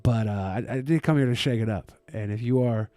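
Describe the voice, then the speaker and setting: A man's voice: medium pitch, a little fast, dark tone, dry recording. A man co-hosting a casual podcast, self-assured and argumentative, often stammering and repeating his phrases. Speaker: podcast co-host. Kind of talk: casual banter.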